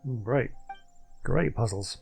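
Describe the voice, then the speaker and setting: A man's voice: mid-range voice, takes it slowly, vocal fry, darkish tone, soft background music. A man giving casual gameplay commentary, hesitantly thinking aloud as he plays. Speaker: gamer doing a playthrough.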